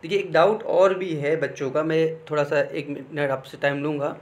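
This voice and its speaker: Higher-pitched young man explaining informally. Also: fairly even intonation, normal speed. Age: young adult. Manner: conversational, repeatedly checks in with "okay". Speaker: exam guidance YouTuber.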